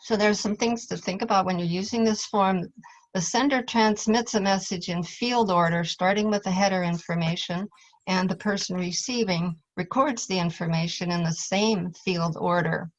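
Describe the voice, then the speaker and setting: A woman's voice: mid-range voice, fairly even intonation, normal speed. A woman presenting class material as an instructor, explaining it step by step in an informal way. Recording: dull, lo-fi audio.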